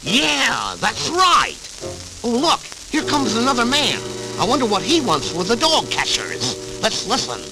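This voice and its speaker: Casting: blustery scheming cartoon tomcat, slobbery lisp, high and swooping, on a vintage record. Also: male voice, wildly swooping pitch, unhurried, exaggerated voice, low-quality recording. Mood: amazed. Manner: blustery, exclamatory. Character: lisping, grumpy, scheming cartoon cat. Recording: vintage 78rpm record with music.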